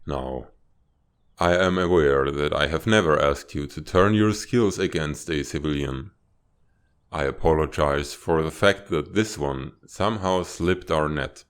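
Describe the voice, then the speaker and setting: A man voicing a stern reptilian spymaster in a comic dub, speaking in a formal, measured, authoritative way. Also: very deep voice, clean tone. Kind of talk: dramatic fan-dub comic acting.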